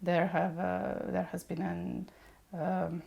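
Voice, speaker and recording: Serbian woman with a low gravelly voice. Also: level tone, slow pace, dark tone. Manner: explains patiently with many hesitations. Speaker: guest; mechatronics engineer. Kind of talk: podcast interview.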